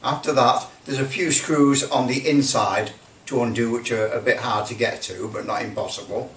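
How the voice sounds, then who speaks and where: A man giving a casual hands-on demonstration, halting and thinking aloud as he repeats phrases. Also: mid-range voice, steady tone, a bit bright. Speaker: presenter of a hobby project. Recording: roomy, distant mic, faint noise.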